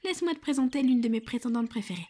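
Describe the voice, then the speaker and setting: Woman as female Cupid matchmaker, high voice, blunt, chiding, exasperated, protective, ASMR roleplay. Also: lively intonation, racing, noisy background, lightly acted. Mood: resigned, protective.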